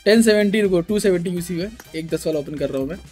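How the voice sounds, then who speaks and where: A man's voice: high-pitched, fast talker. A young man chatting on a game livestream in a pleading, complaining way. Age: young adult. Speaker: live game streamer. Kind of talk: casual livestream chat while gaming. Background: background music.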